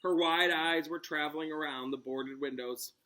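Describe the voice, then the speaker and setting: A man narrates a children's story like a teacher in front of a class. His voice sits a little high and is bright and forceful. The delivery is dramatic and clearly aimed at young listeners. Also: fairly even intonation, normal speed, rough recording. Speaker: teacher reading aloud to a class. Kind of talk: expressive storybook read-aloud with asides.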